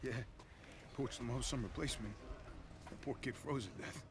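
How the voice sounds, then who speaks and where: A man voicing a griping soldier in a war game, full of sardonic complaint, with a mid-range voice. Loud outdoor ambience surrounds him. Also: medium pitch, normal speed, background noise, natural voice. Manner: sarcastic complaining.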